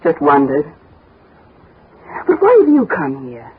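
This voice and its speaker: An actress in a 1960s radio drama playing a brisk, flirtatious widow who talks bluntly and familiarly, with a husky voice. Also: female voice, sits low, huge pitch swings, full character voice. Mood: cool, guarded. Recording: tinny, band-limited 1960s radio recording.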